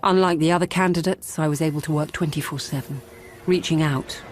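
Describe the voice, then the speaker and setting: An actress playing a calm, ruthless politician who narrates a radio drama in a measured, controlled and quietly menacing way. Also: female voice, sits low, lightly acted. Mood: cool, controlled. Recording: music behind the voice.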